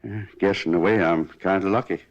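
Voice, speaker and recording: A folksy, rambling cafe owner. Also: male voice, deep voice, brisk. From Kansas. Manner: rambling, homespun, plain-spoken. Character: folksy, talkative wounded cafe owner, former pitcher. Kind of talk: 1950s radio drama acting. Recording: dull vintage 1950s radio broadcast.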